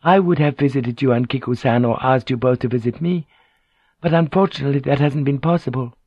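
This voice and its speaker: A man's voice: medium pitch, fairly quick, darkish tone, no room sound, dull audio, pitched above the performer's own voice, natural voice. A man voicing a polite, guarded noblewoman in audiobook dialogue, formal and controlled under her anxiety.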